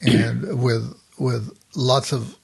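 Slow, gravelly-voiced male interviewer. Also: medium pitch, level tone, a little mellow. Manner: slow, reflective questioning with frequent fillers. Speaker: interview host. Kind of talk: podcast interview.

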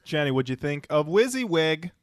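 A man's voice: a little high, big pitch swings, normal speed, no room sound. A man hosting a casual music discussion podcast, talking in an enthusiastic, slangy, joking way. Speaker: podcast host.

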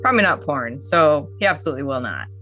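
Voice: medium pitch, animated, fast talker, bright, forceful tone, faint music behind. A co-host making blunt, mocking, sarcastic asides during a podcast. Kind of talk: sarcastic commentary.

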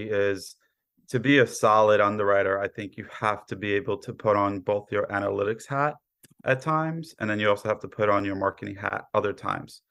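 American male podcast guest, thirties, low gravelly voice, modest conversational explainer. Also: a bit bright. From Brooklyn, New York, born in Poland. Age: 30s. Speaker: podcast guest, cyber insurance professional. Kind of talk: conversational interview answers.

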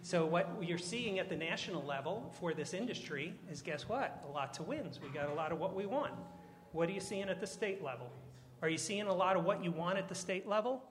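A man's voice: high-pitched, expressive, a little fast. A man speaking on an energy panel, making an emphatic argument with rhetorical questions. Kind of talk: panel discussion.

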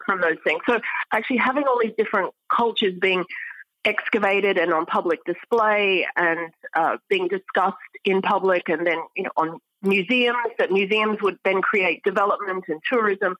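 A woman speaking as an academic guest in an interview, explaining things in a measured, scholarly manner. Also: medium pitch, no echo, dull audio. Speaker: guest academic, archaeology professor.

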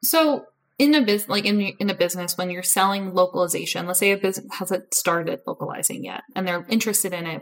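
A woman hosts the conversation and asks her questions in a loose, informal way. Her voice sits slightly low for a woman, and she fills her phrasing with "like" and restarts as she goes. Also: poor audio. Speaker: podcast host. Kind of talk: casual interview questions.

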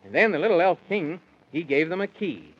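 A man's voice: a little high, big pitch swings, a little fast, breathy edge. A man narrating a children's story in rhyme with theatrical sing-song delivery, in the style of 1930s radio. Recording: dull, muffled 1930s radio recording.

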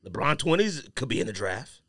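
A man's voice: mid-range voice, very animated, fairly quick, a bit bright, no echo, studio quality. A man co-hosting a podcast, bantering and debating sports in a slangy, emphatic way. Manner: slangy, emphatic, repeats points. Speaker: sports and sneaker podcast co-host. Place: podcast studio.

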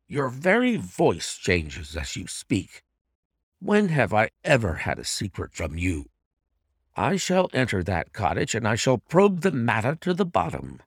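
A man's voice: lowish voice, wildly swooping pitch, measured pace, slightly rough, no room sound, heavily acted. Man acting a stern, suspicious husband, dry recording. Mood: accusing. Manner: stern, formal, insistent. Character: troubled, suspicious husband demanding the truth. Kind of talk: dramatized audiobook reading of a classic mystery story.

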